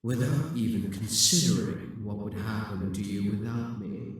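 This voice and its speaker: A man voicing a possessive, scheming male demon king who speaks in a slow, mocking, gloating way. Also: lowish voice, very echoey, far from the mic. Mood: taunting. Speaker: audio roleplay performer. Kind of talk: scripted audio roleplay.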